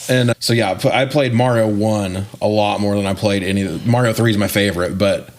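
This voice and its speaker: A man's voice: fairly low pitch, steady tone, fast. A man in his forties co-hosting a casual movie podcast, chatting in a slangy, joking, mildly profane way. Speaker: podcast co-host.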